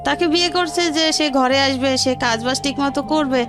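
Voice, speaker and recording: A woman with a Bangladeshi accent speaks in a notably high voice. She recounts her own life as an interview guest, colloquially and with reported dialogue, while music plays underneath. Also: moderate pace. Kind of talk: personal storytelling in an interview.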